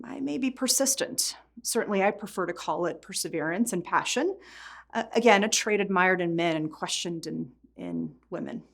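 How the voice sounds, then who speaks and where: A middle-aged woman from Poland, a native Polish speaker, giving an earnest prepared speech as a foundation board chair. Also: medium pitch.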